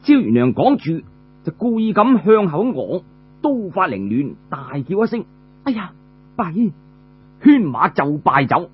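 A man tells a traditional story in Cantonese-accented speech. His pitch rises and falls with great animation and his tone is rough around the edges. The recording is muffled, with a mains hum. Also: big pitch swings, unhurried.

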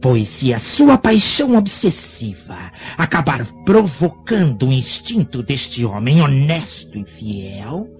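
An actor playing a menacing, shrewd sorcerer of ancient Rome in a theatrical radio drama, solemn and insinuating. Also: male voice, mid-range voice, very animated, takes it slowly, few pauses. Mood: grave warning. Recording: muffled lo-fi radio drama with music.

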